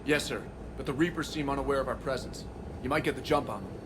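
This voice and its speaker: A man playing a dutiful military shuttle pilot in a video game, reporting briskly and respectfully. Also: medium pitch, lightly acted. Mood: dutiful, alert. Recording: shuttle engine noise behind voice.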